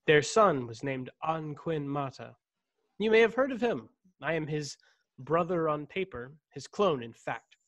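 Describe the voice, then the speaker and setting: A man's voice: fairly high pitch, lively intonation, a bit bright, muffled recording. A man in his thirties playing a brooding, stiffly formal clone in a science-fiction radio play. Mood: confessing, intense. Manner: measured, stiffly formal diction. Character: brooding, formal young man, secret clone of noble family. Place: home room on video call. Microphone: desk condenser microphone, headset.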